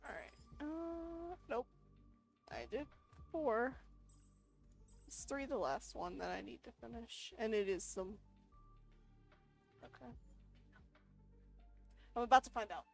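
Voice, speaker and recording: Woman gamer with high, lively voice. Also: slow, gravelly, long pauses, faint music behind. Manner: informal, thinking aloud to herself. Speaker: gamer playing a role-playing game for viewers. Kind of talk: casual gameplay commentary.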